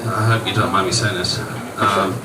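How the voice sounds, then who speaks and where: A man acting as MC, giving an informal, halting live introduction of a guest. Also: sits low, level tone. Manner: halting, pausing delivery. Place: noisy bar venue, voice heard from afar. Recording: echoey, distant, very noisy live venue. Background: loud venue ambience.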